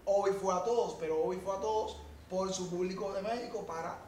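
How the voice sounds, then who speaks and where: A man co-hosting a casual podcast, explaining things at length and getting louder when he makes a point. His voice is fairly high for a man and mellow. He sits some way from the mic in an echoey room with faint background noise. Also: fairly even intonation, brisk. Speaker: podcast co-host. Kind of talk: casual chat about urban music. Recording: roomy echo, distant from mic, faint noise.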